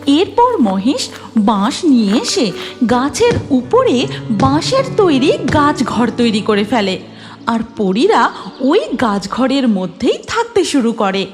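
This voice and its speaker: Casting: female fairy-tale narrator, high pitch, slow delivery, over music. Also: sing-song. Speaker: storyteller.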